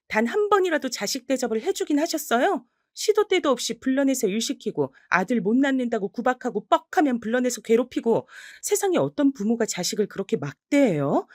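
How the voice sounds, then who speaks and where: A woman's voice: on the high side, mellow, no room sound, studio quality, pitched above the performer's own voice. A woman voicing a grown, married daughter who talks back sharply and defiantly.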